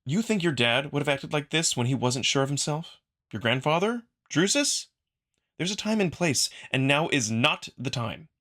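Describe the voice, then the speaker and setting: A man's voice: fairly high pitch, animated, normal speed, sharp tone, pitched above the performer's own voice. A man playing a frank young man, a loyal but exasperated friend, blunt and impassioned as he urges someone on. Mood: stern, urging.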